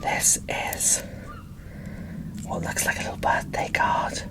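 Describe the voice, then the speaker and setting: A woman speaks in a soft whisper, as in an ASMR unboxing video. She takes her time, with a slow pace and a chatty, gushing manner. Her delivery is informal and unscripted. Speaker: video creator presenting a doll unboxing.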